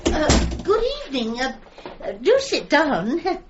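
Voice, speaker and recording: An actress playing a timid, flustered, soft-hearted woman devoted to her dog, hesitant and pleading, in a radio comedy drama. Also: high-pitched, sing-song, unhurried, muffled recording, very noisy.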